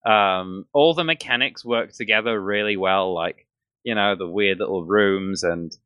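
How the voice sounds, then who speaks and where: A man's voice: fairly low pitch, unhurried, smooth, no echo, muffled recording. A man talking enthusiastically and at length in a casual gaming chat as a podcast co-host. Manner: enthusiastic, rambling.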